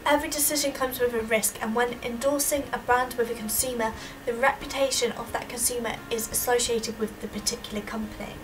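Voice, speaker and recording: A young woman with a noticeably high voice delivers a prepared student presentation. She explains earnestly, stressing her points as she goes. The microphone sounds some way off, with light noise behind her. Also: fairly even intonation, brisk. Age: young adult. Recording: distant microphone with audible background noise.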